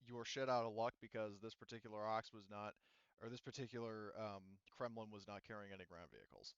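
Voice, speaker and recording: Clear-voiced man running a tabletop game. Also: fairly low pitch, animated, dry recording, lo-fi sound. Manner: halting, thinking aloud. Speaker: game master. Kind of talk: casual tabletop game narration.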